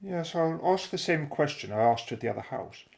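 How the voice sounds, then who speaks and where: Man acting a creaky-voiced, level-headed explorer. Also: fairly high pitch, expressive, moderate pace, darkish tone. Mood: inquiring. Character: brisk, inquisitive, level-headed expedition member. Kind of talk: audio drama acting.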